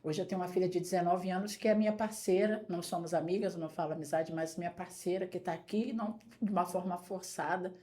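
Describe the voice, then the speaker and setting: A woman's voice: on the low side, fairly even intonation, quick pace, few pauses, dark tone. Earnest Brazilian woman in her forties. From São Paulo state, Brazil. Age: 40s. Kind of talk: talk show conversation and testimony.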